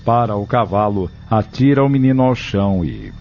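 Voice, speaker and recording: A man's voice: lowish voice, big pitch swings, moderate pace, creaky, a little hiss or hum. A man giving scripted, dramatic narration for an audio novel. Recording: dull, lo-fi recording with music.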